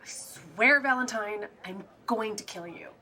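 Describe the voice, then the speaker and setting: An actress playing a detective's exasperated, sharp-tongued companion in an audio drama, speaking bluntly. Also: female voice, mid-range voice, unhurried.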